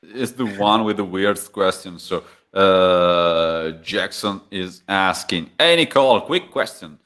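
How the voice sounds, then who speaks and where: A man's voice: deep voice, expressive, takes it slowly, a bit bright. A man co-hosting a casual live chat, playful, often repeating his first words and reading out viewer questions. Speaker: co-host, band member.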